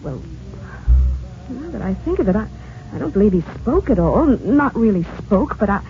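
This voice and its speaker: An actress playing an anxious, insistent wife in an old-time radio drama, speaking hesitantly and emotionally. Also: female voice, medium pitch, big pitch swings, normal speed, close to an everyday voice. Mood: dazed, wondering. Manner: hesitant, breathless repetitions, emotional. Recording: tinny, narrow old radio recording, faint hiss.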